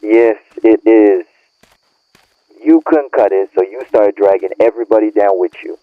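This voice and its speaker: A tinny phone-filtered voice, low and close, of a man acting an irritated young guy in an audio drama. He scolds and blames in blunt, profane slang, bitter and fed up with his friend. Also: moderate pace, no room sound. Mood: angry, blaming. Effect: phone or radio filter. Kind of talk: audio roleplay acting, naturalistic argument. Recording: narrow tinny phone-like band.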